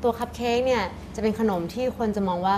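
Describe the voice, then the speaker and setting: A woman's voice: fairly high pitch, quick pace, crowd noise. A woman in her thirties talking casually as an interviewed cafe owner, explaining at length and mixing in English words. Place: small indoor cafe room. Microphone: lapel mic. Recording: clearly noisy background ambience.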